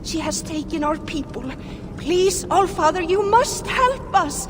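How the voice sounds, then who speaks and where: An actress playing an earnest, sharp-tongued dwarf townswoman in a video game, pleading and emphatic. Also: extremely high pitch, animated, a little slow. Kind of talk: video game cutscene acting. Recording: clear background noise.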